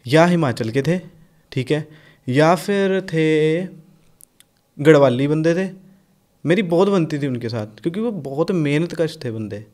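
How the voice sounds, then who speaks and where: A young man from Punjab talking casually on a podcast, blunt and animated, swearing freely and mixing in English. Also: fairly high pitch, unhurried, long pauses. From Punjab, India. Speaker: podcast guest, rapper.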